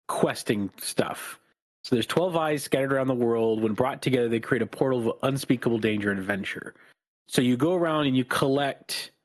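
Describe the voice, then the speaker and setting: A man co-hosting a podcast, chatting casually in a calm, even-tempered voice that is not raspy. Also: mid-range voice. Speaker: podcast co-host. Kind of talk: casual chat.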